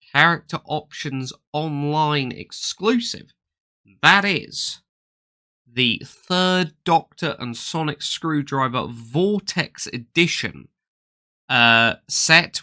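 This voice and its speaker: A man's voice: mid-range voice, pushed and bright. A man with a slight cold and a nasal voice, hosting a casual toy collecting news chat with eager, rambling talk and many fillers. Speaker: toy collecting channel host.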